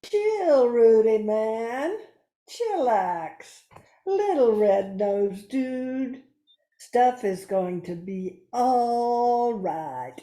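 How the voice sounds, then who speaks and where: A very slow, high-pitched reindeer, acted by a woman in a radio play. The character is sunny and easygoing, with a soft-edged mellow tone in an echoey room. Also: lively intonation. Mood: mellow, relaxed. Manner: drawling, slangy, easygoing. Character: cheerful laid-back reindeer, dreamy and chilled out. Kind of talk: amateur radio play acting. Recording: roomy echo.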